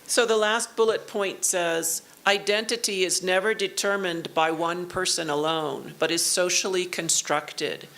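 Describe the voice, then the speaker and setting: A woman delivers an academic lecture in a university auditorium. Her voice sits fairly low and she speaks very slowly, in short measured phrases with pauses between them. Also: steady tone, fairly bright tone. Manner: slow, measured, pausing for the interpreter. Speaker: keynote lecturer. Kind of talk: academic lecture in English with consecutive interpreting.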